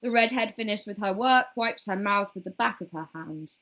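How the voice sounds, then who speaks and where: A woman's voice: mid-range voice, moderate pace, sharp tone. A woman narrating a table read, reading stage directions aloud and at times stumbling over words.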